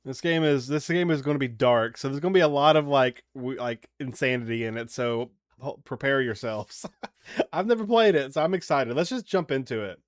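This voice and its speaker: A man hosting a gaming stream, chatting informally and haltingly as he comments and reads on-screen text aloud. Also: mid-range voice, no room sound, clean studio sound. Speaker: livestream gaming host.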